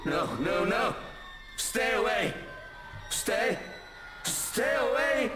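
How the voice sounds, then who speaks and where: A man voicing an enraged, frightened lord in a horror game, shouting forcefully and indignantly. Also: high-pitched, very animated, drawn-out words, faint music behind, pitched above the performer's own voice, heavily acted. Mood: terrified. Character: enraged, frightened British lord defying a ghost.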